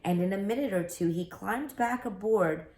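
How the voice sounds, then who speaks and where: A woman reading a children's book aloud as an expressive storyteller, recorded in an echoey room. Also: medium pitch, normal speed.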